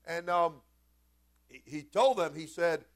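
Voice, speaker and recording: High-pitched preacher man, folksy. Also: slow. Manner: animated, swinging from quiet conversational asides to loud emphatic shouts. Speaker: senior pastor preaching. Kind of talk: Pentecostal-style sermon with storytelling.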